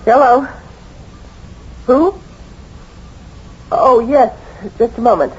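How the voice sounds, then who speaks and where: An actress in an old-time radio drama playing a protective, anxious wife, polite and solicitous in a theatrical way. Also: female voice, a little high, lively intonation, drawn-out words, slightly rough, exaggerated voice. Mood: uneasy. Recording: dull old radio broadcast with music.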